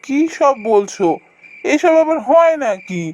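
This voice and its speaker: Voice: sits high, animated, slow, dull audio, slight background noise. An actor plays a village husband and father in a fairy-tale cartoon. He is skeptical and grumbling. His delivery is dismissive and questioning.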